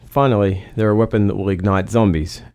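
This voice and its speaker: Male developer narrator, scripted, mellow low voice, close dry sound. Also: steady tone, brisk, no echo. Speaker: game developer giving commentary.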